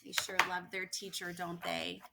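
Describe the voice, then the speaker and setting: A woman's voice: on the low side, normal speed, vocal fry, heavy background noise. A woman reading a picture book aloud as a teacher would, expressively, with emphatic stresses and personal asides to her young listeners. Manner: animated storytelling with emphatic stresses and playful questions to listeners.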